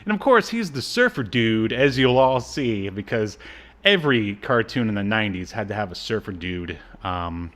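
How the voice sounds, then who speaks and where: A man's voice: fairly low pitch, expressive, moderate pace, a bit bright. A man co-hosting a podcast, casually recapping an episode with wry, sarcastic asides. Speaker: podcast co-host.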